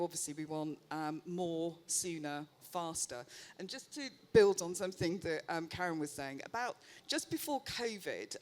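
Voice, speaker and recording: A woman's voice: sits low, darkish tone. A woman executive speaking on a conference panel, chatty and self-deprecating, with frequent ums. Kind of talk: live panel discussion. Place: conference stage. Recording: faint noise, live event ambience.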